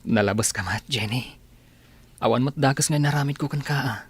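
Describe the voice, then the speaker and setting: A man's voice: medium pitch, fairly quick, breathy edge, no echo. A man playing a meek, lovesick young village man in a comedy radio play. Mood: pleading. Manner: meek, pleading.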